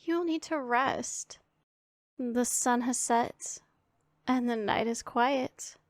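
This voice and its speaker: Woman playing a stern, unhurried demon woman. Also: on the high side, no echo, studio quality. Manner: authoritative, formal, imperious diction. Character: stern, commanding yet secretly caring powerful female demon. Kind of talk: scripted ASMR roleplay addressed to the listener.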